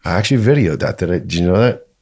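Deep, gravelly, husky middle-aged man. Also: lively intonation, racing, warm tone. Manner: rambling, playful, reminiscing. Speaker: podcast co-host. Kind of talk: casual podcast chat.

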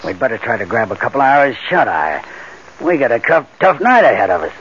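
An actor playing a tough, confident crook who masterminds heists, in 1940s radio style, with slangy gangster talk. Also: male voice, mid-range voice, sing-song, fairly quick, a bit bright. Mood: cocky, businesslike. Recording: muffled, noisy old-time radio recording.

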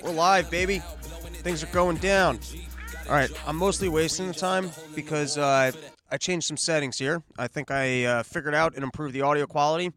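A man's voice: on the high side, normal speed, fairly bright tone, faint music behind. A man hosting a solo comedic podcast live, brash and profane, rambling through riffs. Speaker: podcast host. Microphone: studio mic on a live stream.

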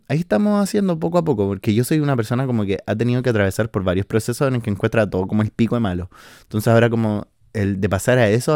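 Chilean man, low mellow voice, casual monologue. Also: clean tone, no echo, clean studio sound. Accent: Chilean Spanish. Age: 20s. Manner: chatty, slangy, swearing, filler-heavy. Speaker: podcast host. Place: house in the countryside.

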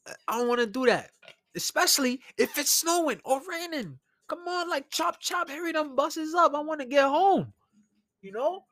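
A man from New York City with a Brooklyn accent, ranting and telling stories casually in an animated, slangy way, as a solo podcast host. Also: very high voice, normal speed, dry recording. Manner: animated, informal, slangy, acts out conversations. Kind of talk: casual solo rant and storytelling.

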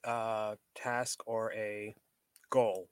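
A man's voice: lowish voice, level tone, extremely slow, clear, resonant tone, dry recording, clean studio sound. A man in his thirties co-hosting a podcast, chatting casually with wry, slangy reactions. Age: 30s. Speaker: podcast co-host. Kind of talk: casual chat.

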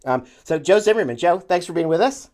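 A man's voice: on the high side, expressive, a little fast, darkish tone. Hesitant, joking podcast co-host man. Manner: hesitant, full of "uh" fillers, wordplay. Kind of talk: casual podcast chat.

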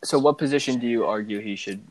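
A man's voice: mid-range voice, quick pace, a crowd in the background, poor audio. A man co-hosting a sports podcast in casual conversation.

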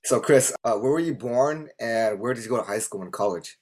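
A man's voice: medium pitch, lively intonation, a little fast, darkish tone, slight background noise. A man co-hosting a podcast, easygoing and curious as he asks the guest questions. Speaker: podcast co-host. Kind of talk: casual podcast interview.

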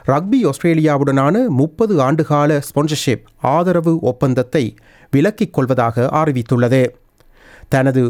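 A man with a somewhat high-pitched voice presents the news. He reads from a script in a formal, measured way, item by item. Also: a little mellow.

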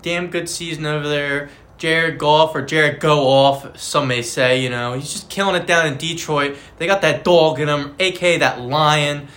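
Bright, trash-talking male co-host. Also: on the high side, fairly even intonation, normal speed, hardly any pauses. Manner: trash-talking, jokey, slangy. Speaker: podcast co-host. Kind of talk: casual fantasy football chat.

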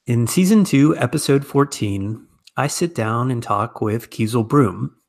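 A man's voice: medium pitch, expressive, measured pace, vocal fry, music in the background. A man with an American accent, speaking as a podcast host and interviewer. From United States. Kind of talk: podcast introduction and conversational interview.

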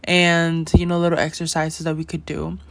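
Teen girl, podcast host, rambling casual chat, giggly, lots of fillers, slightly low voice. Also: completely flat delivery, moderate pace, creaky. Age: teenager. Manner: rambling, giggly, heavy use of "like" and "you know".

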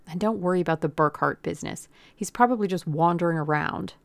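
Woman acting a gruff, blustering male boss. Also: fairly low pitch, fast talker, no room sound. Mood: dismissive. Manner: irritable and dismissive. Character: gruff, bossy, blustering male executive with something to hide. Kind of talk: audiobook character acting.

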